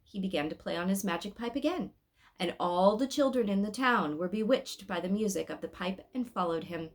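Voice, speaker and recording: A woman's voice: medium pitch, animated, a little fast. Woman reading a story aloud. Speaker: storybook reader, host. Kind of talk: expressive read-aloud narration with casual asides.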